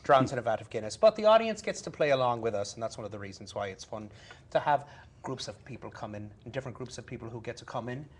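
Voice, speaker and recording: A man's voice: medium pitch, animated, fast talker. A man, a stage actor, chatting playfully and expressively in an interview with a put-on Irish accent. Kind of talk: improvised casual interview. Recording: clear background noise, live stream.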